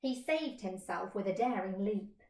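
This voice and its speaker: A woman's voice: mid-range voice, animated, unhurried, a little mellow. A woman teacher reading a children's story expressively in a roomy space.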